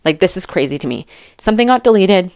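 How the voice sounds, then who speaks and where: Animated woman in casual, dry close commentary. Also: mid-range voice, fast talker, no room sound. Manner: animated, emphatic, informal, rambling. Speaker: true crime commentary YouTuber. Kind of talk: casual, chatty commentary to camera.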